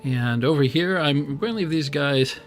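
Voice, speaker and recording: A man streaming a strategy game live, talking casually and rambling with many fillers, his voice weak and strained. He speaks into a boom microphone at his gaming desk at home. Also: normal speed, soft background music. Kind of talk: casual live-stream commentary while playing. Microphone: desk microphone on a boom arm.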